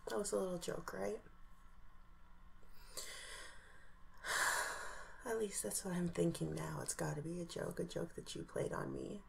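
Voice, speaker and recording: A woman playing an obsessive, menacing, sickly sweet ex-girlfriend in a breathy, half-whispered ASMR roleplay, taunting her captive with pauses and hums. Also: lowish voice, fairly even intonation, a little hiss or hum. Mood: taunting, hurt.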